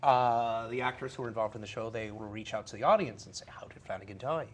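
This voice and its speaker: A man's voice: fairly low pitch, quick pace. A man, a stage actor, chatting playfully and expressively in an interview with a put-on Irish accent. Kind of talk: improvised casual interview. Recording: clear background noise, live stream.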